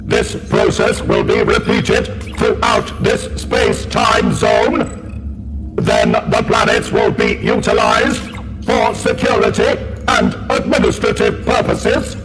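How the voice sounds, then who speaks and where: Ruthless alien war machine, very high piercing electronic voice, staccato imperious commands, slow delivery, robot filter, sci-fi audio drama, loud music behind. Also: male voice, rough recording. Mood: cold, commanding. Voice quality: harsh electronic staccato monotone. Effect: robotic ring-modulated voice filter.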